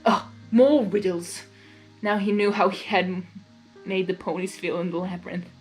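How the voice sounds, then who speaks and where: A woman's voice: medium pitch, steady tone, moderate pace, husky, low-quality recording. A woman reading a story aloud expressively, with casual, informal asides in a giggly manner. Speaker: fan fiction reader. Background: music.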